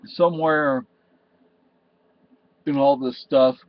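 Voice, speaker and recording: A man's voice: medium pitch, steady tone, takes it slowly, no echo. An older man from Spain talking to his webcam at home in a meandering, emotional way, full of restarts and "you know". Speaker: vlogger. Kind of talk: rambling personal monologue to camera. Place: cluttered room at home, indoors. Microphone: webcam in front of him. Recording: slightly dull lo-fi webcam audio.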